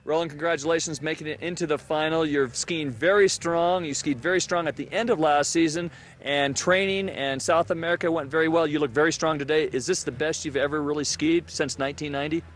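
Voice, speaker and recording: A man at an outdoor ski race finish, firing off upbeat questions to the racers. He talks quickly and sits slightly high in pitch. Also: steady tone. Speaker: sideline interviewer. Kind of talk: post-race interview questions.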